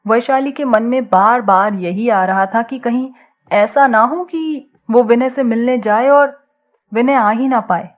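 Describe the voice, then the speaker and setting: A woman narrating a scripted audio story in an expressive storytelling style, on a slightly muffled recording. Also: a little high, a little slow.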